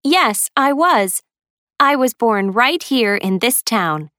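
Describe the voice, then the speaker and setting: Woman playing a young dance teacher, smooth clear voice, bit high, careful plain talk. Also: animated, slow, bright, forceful tone, no echo, clean studio sound, full character voice. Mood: calm. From United States. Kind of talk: scripted textbook dialogue.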